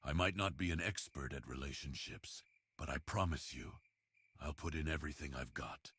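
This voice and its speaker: A man playing a tender, shy man in love in an intimate ASMR roleplay, speaking haltingly and earnestly with a husky voice. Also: a bass voice, fairly bright tone, full character voice. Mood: tender, hopeful.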